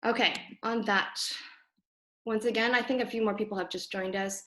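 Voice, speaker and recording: A woman's voice: mid-range voice, steady tone, normal speed, a bit bright, slight background noise, rough recording. A young woman from Toronto whose first language is Cantonese, hosting a group video call from her apartment, speaking thoughtfully with many ums and self-corrections. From Toronto, Canada. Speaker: host of a community video call discussion. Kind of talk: casual, earnest introduction to an online group talk. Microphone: computer on a video call.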